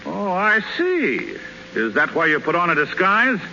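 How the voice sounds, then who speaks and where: Gruff baseball boss, high sharp voice, slangy sarcasm, swooping delivery, 1930s radio comedy, muffled old broadcast. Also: male voice, big pitch swings, a little slow, slight background noise, heavily acted. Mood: dry sarcasm. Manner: wisecracking, barking, slangy. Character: gruff, sarcastic baseball team captain bossing his players.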